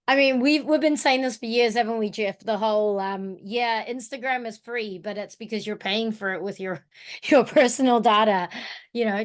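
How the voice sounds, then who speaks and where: A woman with a New Zealand accent talking informally in an online workshop, thinking aloud as she co-presents. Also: mid-range voice. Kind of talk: casual reflective discussion in an online workshop. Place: online video call.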